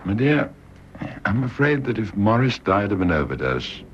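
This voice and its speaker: An actor playing a courteous, concerned English family doctor in a radio drama, polite and hesitant. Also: male voice, on the low side, very animated, normal speed, lo-fi sound, slight background noise. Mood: grave, concerned. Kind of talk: British radio drama acting.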